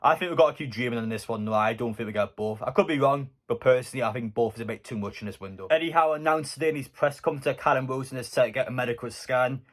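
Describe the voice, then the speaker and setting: Guy doing casual football news chat, fan youtuber type, opinionated, normal mid pitched voice. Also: racing, few pauses. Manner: conversational, opinionated.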